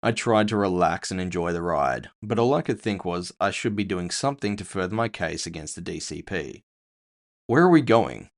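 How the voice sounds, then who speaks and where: A man's voice: sits low, a little fast, few pauses. A man narrating scripted first-person fiction with a wry storytelling manner.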